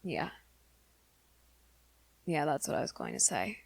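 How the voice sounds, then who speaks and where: A woman playing an earnest, lovesick young male werewolf in an intimate ASMR roleplay, confiding softly and haltingly in a friend. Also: low voice, big pitch swings, gravelly, slightly rough, no room sound, heavily acted. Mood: tender, sincere. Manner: hesitant, halting, soft-spoken confession.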